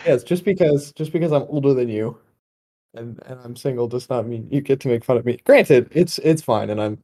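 A man's voice: medium pitch, brisk, mellow. A twenty-year-old man chatting casually as a podcast host, in a rambling, wry storytelling manner. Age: 20, young adult. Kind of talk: casual conversational podcast chat.

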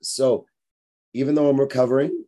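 A hoarse, tired man in his forties, hosting a fitness podcast in a frank, rambling motivational monologue. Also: medium pitch, little pitch movement, normal speed, dark tone. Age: 40s. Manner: rambling, frank, repeats himself.

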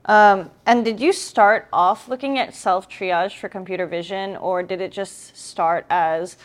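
A woman's voice: medium pitch, a little slow. A woman hosting a podcast interview, asking questions and giving brief encouraging replies. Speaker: podcast host.

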